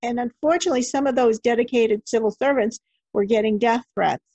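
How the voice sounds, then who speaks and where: Woman, interview host, mid pitch, creaky, conversational, muffled lo-fi audio. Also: a little fast, a little mellow, dry recording.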